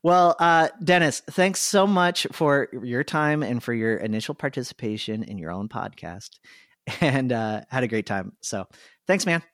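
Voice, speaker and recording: A man hosting a podcast who thinks aloud in long, winding sentences. His voice sits at a medium pitch. It is recorded on a close microphone with a dry, deadened sound. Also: expressive, measured pace, a bit bright, dry recording. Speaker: podcast host. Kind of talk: casual podcast chat.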